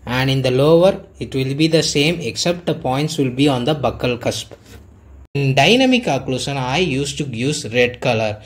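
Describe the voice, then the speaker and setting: A man's voice: medium pitch, expressive, moderate pace. Earnest man explaining a clinical technique. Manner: earnest, instructive, plain step-by-step explaining. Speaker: dentist teaching clinical technique. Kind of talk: instructional voice-over.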